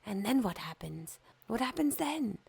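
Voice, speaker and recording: A young fir tree in a storybook, restless and yearning, its voice lifted well above the reader's own and slipping into breathy whispers, as a woman acts it out. It asks its questions in a breathless rush. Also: fairly high pitch, sing-song, normal speed, a little mellow, voice pushed up, heavily acted. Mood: eager. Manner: eager, longing, breathy at times. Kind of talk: storybook reading.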